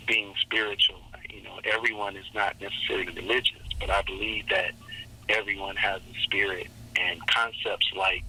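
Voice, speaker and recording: A male singer and minister with a raspy, low voice, speaking slowly and thoughtfully as a guest in an interview. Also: bright, forceful tone, a little hiss or hum, low-quality recording. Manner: slow, measured, reflective. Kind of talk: interview over a call.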